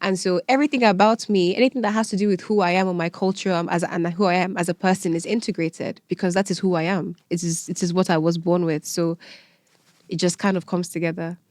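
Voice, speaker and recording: A woman's voice: fairly low pitch, fairly even intonation, fast, a little mellow, dry recording, clean studio sound. A woman from Nigeria with a Nigerian accent, telling personal stories candidly and conversationally as a podcast guest. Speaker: podcast guest, event organiser.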